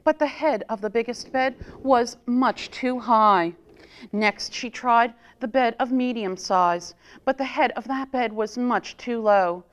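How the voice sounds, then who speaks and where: A woman reading a children's story aloud as a storytime reader, in an expressive, deliberate manner. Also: on the high side. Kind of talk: expressive picture-book read-aloud for children.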